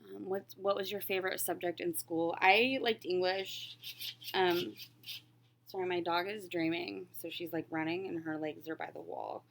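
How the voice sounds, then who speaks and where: A woman in her late twenties with a slightly low voice, talking in a casual vlog style. She rambles through answers in a candid, reflective way, like someone chatting while working on a craft. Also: fairly even intonation, moderate pace, gravelly, slight background noise. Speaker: crafting vlogger. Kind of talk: casual chat, answering questions while stitching.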